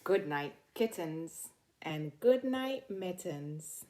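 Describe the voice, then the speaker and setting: A woman's voice: lowish voice, lively intonation, slow, warm tone. A middle-aged woman reading a picture book aloud to children, talking simply and directly to her young listeners. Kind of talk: read-aloud storytime for children.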